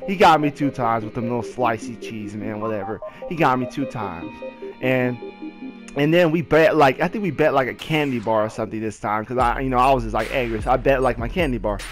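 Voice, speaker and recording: A young American man casually telling personal stories in a slangy, repetitive, animated way. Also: medium pitch, fairly quick. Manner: slangy, repetitive, animated retelling with acted quotes. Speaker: gaming video storyteller. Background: background music with guitar.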